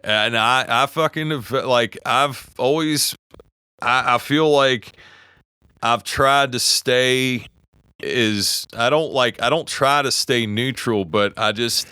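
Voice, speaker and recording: Man, West Virginia accent, middle-aged, podcast co-host, casual heated talk, profane, restarts sentences, smooth voice, dry close mic. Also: medium pitch, slow, bright, forceful tone, no room sound. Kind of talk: casual opinionated chat.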